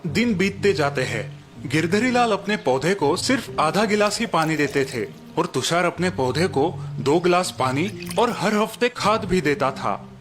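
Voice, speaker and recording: A man's voice: sits high, lively intonation, unhurried, fairly bright tone. Man narrating a bedtime story. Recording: music bed behind narration.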